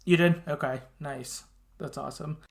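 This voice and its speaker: A man with noticeable vocal fry hosts a casual podcast. He talks informally, as a supportive listener would, with some mild swearing. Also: fairly high pitch, fairly even intonation, normal speed. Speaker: podcast host.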